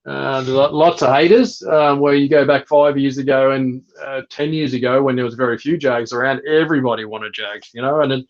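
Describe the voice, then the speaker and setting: A man chatting casually and explaining things as a guest breeder, in a relaxed way with frequent fillers. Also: mid-range voice, a little fast, hardly any pauses. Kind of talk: casual chat. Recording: dull lo-fi sound.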